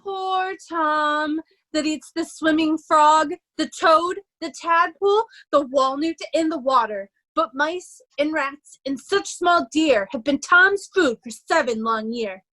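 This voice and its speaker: A woman playing a raving mad beggar in an amateur Shakespeare reading, wild and chanting with drawn-out nonsense. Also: high-pitched, sharp tone, full character voice, rough recording. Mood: crazed, haunted. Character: Edgar feigning a raving mad beggar, Poor Tom.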